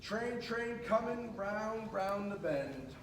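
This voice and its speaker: High-voiced man reading aloud in an echoey room. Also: slow pace. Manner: expressive, with casual asides to listeners. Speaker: storybook reader at a school. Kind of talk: animated children's picture book read-aloud. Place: indoors at a school. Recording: echoey room, distant mic, noisy background.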